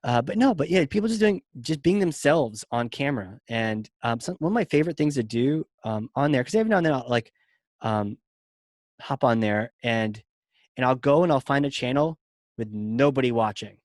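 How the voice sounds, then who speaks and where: A man's voice: mid-range voice, expressive, normal speed, no room sound, clean studio sound. A man hosting a podcast, talking loosely and playfully and rambling with lots of "like". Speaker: podcast host. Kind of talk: casual interview chat.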